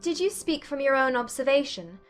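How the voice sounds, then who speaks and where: A woman playing a witty, candid young gentlewoman in a dramatized classic romance audiobook, polite and gently probing in formal period English. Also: sits high, expressive, brisk, faint music behind. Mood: gently teasing.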